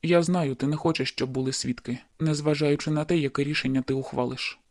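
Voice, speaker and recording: A man plays a calm young man in an audiobook, who speaks quietly and measures every word with care. His voice sits a little high for a man and sounds close and dry. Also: brisk, no room sound, close to an everyday voice. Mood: gentle. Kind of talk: audiobook dialogue.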